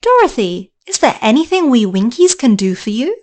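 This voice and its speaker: A woman's voice: a little high, big pitch swings, normal speed, a little mellow. A woman voicing the eager, friendly little Winkie folk in a storybook reading.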